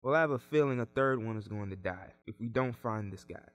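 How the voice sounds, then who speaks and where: A man's voice: mid-range voice, brisk, close to an everyday voice. A young man playing a casual, defensive friend in a student radio drama. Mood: worried, defensive. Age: young adult.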